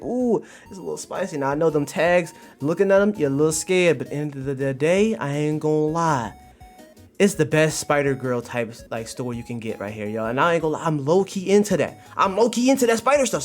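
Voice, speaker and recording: A young American man giving casual, playful livestream commentary to his chat, telling stories in a slangy, exaggerated way. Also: mid-range voice. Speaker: streamer and content creator. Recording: music behind the voice. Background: music.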